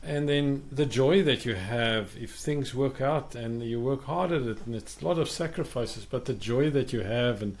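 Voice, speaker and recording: Thoughtful South African podcast host. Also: male voice, mid-range voice, moderate pace, hardly any pauses, darkish tone. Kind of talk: reflective podcast conversation.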